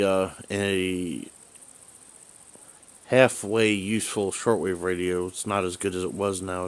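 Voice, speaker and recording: A man talking casually and unscripted about a gadget, halting and rambling as he often trails off. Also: deep voice. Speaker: video creator reviewing radio gear. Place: small room indoors at home. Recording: hissy home video with background noise.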